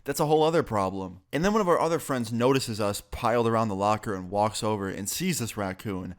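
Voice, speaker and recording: A man's voice: fairly low pitch, fairly quick. A young man, a bit sick, telling a casual, profane story on his vlog. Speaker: YouTuber.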